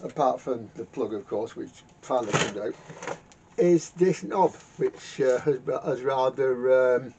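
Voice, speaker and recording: A man giving a casual, unscripted repair demonstration in a halting, rambling way, often repeating words as he explains. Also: mid-range voice, a little mellow. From United Kingdom. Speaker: vintage radio repairer and video host. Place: home garage workshop. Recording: dull lo-fi sound with clear background noise.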